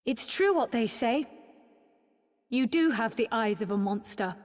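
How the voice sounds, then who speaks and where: Game role: bold scornful adversary, higher register, deliberate biting delivery, large reverberant hall. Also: female voice, animated. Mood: scornful defiance. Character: bold, scornful woman confronting a monstrous enemy prince. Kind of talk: dramatic video game cutscene acting.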